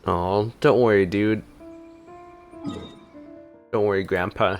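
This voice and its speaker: A man's voice: on the low side, fairly even intonation, slow, soft background music. A man giving casual reaction commentary as he plays a video game.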